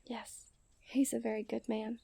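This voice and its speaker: A young woman playing a coy, refined and tender princess in a romantic ASMR roleplay, speaking in playful storybook diction that often softens to a near-whisper. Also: on the high side, level tone, measured pace, vocal fry, husky, no echo, exaggerated voice. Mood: playful, knowing. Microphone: close studio-style microphone.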